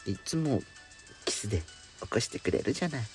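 A man's voice: medium pitch, lively intonation, brisk, soft background music, close to an everyday voice. A man playing a coy, clingy, pampered sweetheart with feminine speech in an intimate bedtime audio drama. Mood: clingy, drowsy. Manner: coy, teasing, feminine wording.

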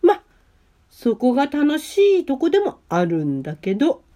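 A woman's voice: sits high, wildly swooping pitch, a little slow, mellow, heavily acted. A woman playing a nonchalant, teasing teenage schoolgirl who plays cupid in an amateur radio drama. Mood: amused, resigned.

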